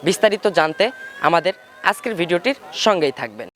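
A man's voice: a little high, very animated, fast, a bit bright, a faint crowd. A man with a Bangladeshi accent presenting and interviewing for a farming vlog. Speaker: farming channel host and interviewer. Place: outdoors at a dairy farm.

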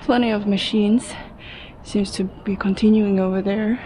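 A woman's voice: mid-range voice, fairly even intonation, measured pace, mellow. A young adult woman talking casually to her handheld camera, thinking aloud in fragments as she explores. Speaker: urban explorer vlogger. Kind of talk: casual vlog commentary while exploring. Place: abandoned steel factory, indoors and out. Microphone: handheld action camera. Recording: noisy handheld action camera audio.

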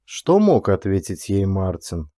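Male narrator for dramatic fiction audiobook, warm dark tone, mid pitch, dry studio-close sound. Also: big pitch swings, measured pace, no room sound.

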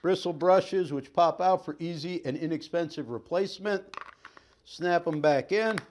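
A man's voice: on the high side, normal speed, a little hiss or hum. Upbeat, folksy man demoing gear. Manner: folksy, upbeat, jokey patter while handling gear. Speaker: sales trainer. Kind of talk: product demonstration. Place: staged living room set, indoors.

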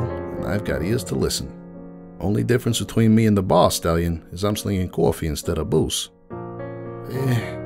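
Man playing a gravelly, low-voiced, world-weary café-owner pony. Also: darkish tone, heavily acted. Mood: folksy, hesitant. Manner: folksy, wistful, confiding storyteller. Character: world-weary middle-aged male pony, chatty coffee-shop owner. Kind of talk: dramatic monologue reading of fan fiction. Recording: music behind the voice.

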